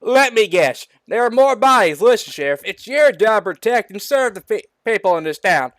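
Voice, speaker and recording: Man putting on a high-pitched blustering hillbilly mayor. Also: moderate pace, a bit bright, no room sound. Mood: disgusted, scolding. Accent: hillbilly Southern US. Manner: blustering, cursing, folksy. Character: bossy, foul-mouthed hillbilly small-town mayor, a man. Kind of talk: hammy reading of a comic story.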